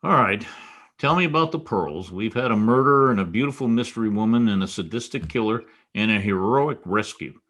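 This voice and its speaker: An actor playing a wisecracking, world-weary private detective in a noir radio drama, wry and sardonic. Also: male voice, lowish voice, lively intonation, a little fast, a bit bright. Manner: wry, sardonic, clipped tough talk. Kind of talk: old-time radio noir acting and first-person narration.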